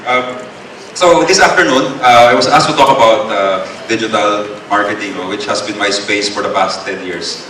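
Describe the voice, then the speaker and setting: A man's voice: fairly low pitch, normal speed. Filipino man lecturing in an echoey hall. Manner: code-switching, tag questions, filler-heavy. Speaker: guest speaker. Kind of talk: seminar talk. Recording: echoey hall, distant mic, noisy.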